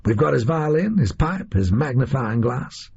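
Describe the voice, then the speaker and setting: A male reader voicing a disillusioned, boastful gunman in an audio story, with a high, reedy voice and folksy, slangy delivery. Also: sing-song, normal speed, creaky, darkish tone, slightly rough, highs cut off, heavily acted. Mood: brisk, boastful.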